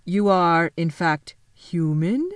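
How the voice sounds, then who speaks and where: A woman voicing a polite, fussy, slightly melancholy male faun who speaks slowly and formally. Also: lowish voice, clean tone, no room sound. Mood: courteous, curious. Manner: courteous, slow and formal.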